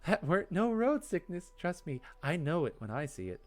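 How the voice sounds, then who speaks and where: A man's voice: fairly high pitch, big pitch swings, moderate pace, pitched above the performer's own voice. A man voicing a folksy young woman who sells herbs in a fantasy game, friendly but guarded, with rustic turns of phrase. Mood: confiding, guarded.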